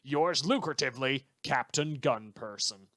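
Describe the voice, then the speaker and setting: A man's voice: medium pitch, animated, a little slow, a bit bright, dry recording, clean studio sound. A man voicing a self-important crank listener, reading out his letter earnestly and formally in a comedic audio drama. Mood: smugly earnest. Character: self-important male crank writing to a radio show.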